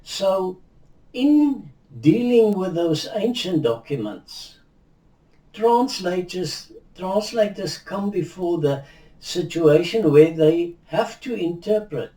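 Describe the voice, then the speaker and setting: Slow, mellow older man. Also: high-pitched, animated, far from the mic. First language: Afrikaans. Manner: measured, reflective, with frequent restarts and hand gestures. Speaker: Bible teacher. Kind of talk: unhurried video teaching. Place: indoors, in front of a virtual backdrop. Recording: roomy echo, voice heard from afar.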